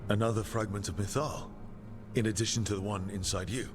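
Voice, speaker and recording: A man's voice: fairly low pitch, steady tone, fairly quick, noisy background, close to an everyday voice. A man playing an earnest, resolute hero in video game dialogue, plain-spoken and questioning. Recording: music behind the voice.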